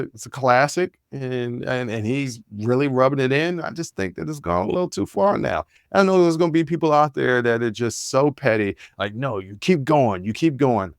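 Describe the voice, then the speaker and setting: A man's voice: mid-range voice, dry recording. Man in his forties, podcast host, casual rambling monologue, mid-range voice, dry dead room. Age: 40s. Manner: candid, rambling, laid-back with frequent fillers and restarts. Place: Washington, D.C.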